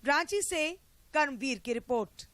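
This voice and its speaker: High-voiced female news reporter, dry sound. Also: lively intonation, unhurried, fairly bright tone, no room sound. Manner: crisp formal narration. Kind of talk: scripted report voice-over.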